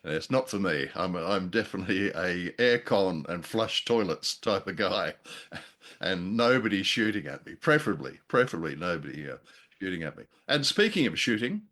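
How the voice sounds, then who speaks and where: A man's voice: low-pitched, big pitch swings, normal speed, fairly bright tone. A man co-hosting a podcast in casual review chat, wordy and jocular, often feeling his way through sentences. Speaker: podcast co-host reviewing old radio.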